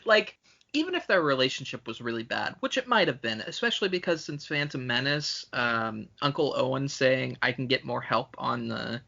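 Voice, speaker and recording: A man's voice: medium pitch, expressive, moderate pace, creaky, sharp tone. A man co-hosting a podcast, casually debating a movie and reasoning out his argument aloud. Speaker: podcast co-host. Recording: dull lo-fi podcast audio.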